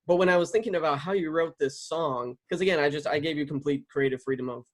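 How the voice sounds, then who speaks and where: A man's voice: fairly high pitch, quick pace. A man hosting a casual interview, talking at length in an enthusiastic, chatty way. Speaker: interviewer and series writer.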